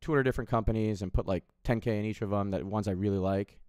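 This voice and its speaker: A man's voice: lowish voice, fast, a little mellow, smooth, no room sound. Rambling man streamer, dry close sound. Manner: rambling, swears freely, frequent restarts. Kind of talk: casual chat on a livestream. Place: home room with window, indoors.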